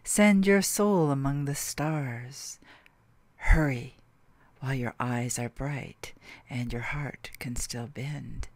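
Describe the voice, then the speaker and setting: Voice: medium pitch, slow, no room sound. A person reciting poetry in a dramatic, intimate way. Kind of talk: poetic spoken-word recitation.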